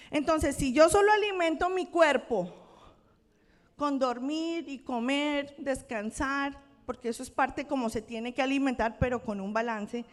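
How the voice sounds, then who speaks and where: A woman pastor from Colombia with a Colombian accent, preaching a conversational sermon and checking in with her congregation. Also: high voice. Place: church sanctuary. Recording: long church-hall reverb.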